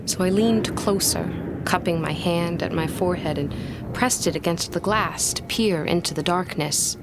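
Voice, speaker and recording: An actress playing a young woman who recounts a frightening vision in a gothic audio drama, fearful yet curious, in a deliberate, suspenseful way. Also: on the low side, natural voice. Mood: tense, haunted. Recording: loud dramatic music under the voice.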